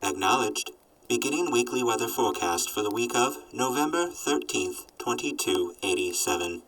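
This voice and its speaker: A man voicing a sardonic robot companion in an audio drama, reporting in a formal, clipped deadpan. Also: medium pitch, normal speed, recorded from a distance, low-quality recording. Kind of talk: post-apocalyptic audio drama acting.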